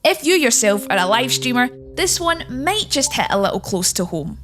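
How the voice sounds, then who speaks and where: A woman presents a scripted countdown in a high-pitched voice. She reads as a narrator guiding listeners through each entry. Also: very animated, moderate pace, fairly bright tone, soft background music. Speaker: narrator and presenter of a video game list.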